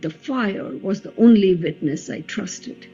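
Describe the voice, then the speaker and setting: Woman acting wounded exiled queen, mellow, slow, reflective, quietly resolute, radio play, music behind. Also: medium pitch, slightly rough, dull audio. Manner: measured, reflective, solemn. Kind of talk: play reading, radio drama.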